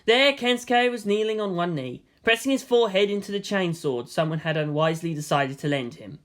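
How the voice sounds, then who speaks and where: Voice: mid-range voice, expressive, brisk. A narrator reading fan fiction aloud as scripted drama, with a theatrical, solemn delivery. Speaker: story narrator.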